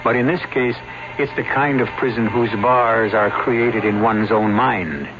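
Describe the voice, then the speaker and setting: A man hosting an old-time radio mystery show, narrating from a script in a measured, theatrical, wry way. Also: mid-range voice. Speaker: host of radio mystery series. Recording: muffled vintage radio, clear hiss.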